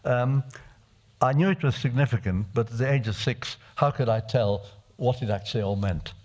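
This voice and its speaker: An older man from Czechoslovakia, a native Czech speaker, giving a reflective speech as a parliamentarian at a desk microphone in an assembly chamber, halting and restarting often. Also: medium pitch, a little mellow. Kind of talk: personal testimony speech.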